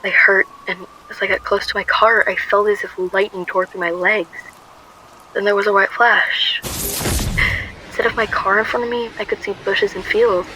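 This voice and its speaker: A woman's voice: mid-range voice, level tone, normal speed, bright, forceful tone, a little hiss or hum, natural voice, poor audio. A woman playing a frightened but determined young woman on the run in a first-person radio drama. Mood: urgent.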